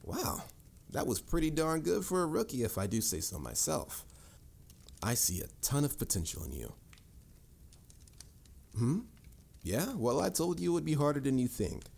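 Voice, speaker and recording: A man's voice: fairly high pitch, sing-song, normal speed, creaky, pauses often, a little mellow, full character voice. A man playing a confident, patient mage mentor in a fantasy audio roleplay, instructive and slightly formal in a theatrical way. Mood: pleased, encouraging. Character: confident adult male mage mentor, patient, boastful, encouraging. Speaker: voice actor. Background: music.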